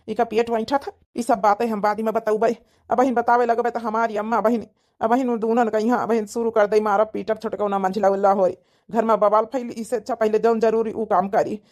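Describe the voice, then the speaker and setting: A man's voice: high-pitched, a little mellow, natural voice. A man voicing a worried, rambling village husband in a cartoon comedy, with an Awadhi accent. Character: worried eldest son, a flustered village husband.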